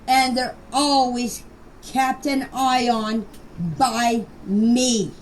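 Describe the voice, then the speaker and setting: Distant amateur voice clip, faint noise, older woman, high pitch, blunt, emphatic, unscripted. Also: steady tone. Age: 60s or older. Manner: emphatic, blunt, deliberate plain speaking. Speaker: grandmother giving her personal account. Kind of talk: unscripted personal voice message.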